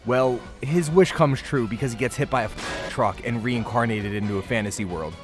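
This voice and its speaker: A man's voice: mid-range voice, normal speed. A man narrating a scripted but casual review as a video host, in a conversational, emphatic and playful way. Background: music.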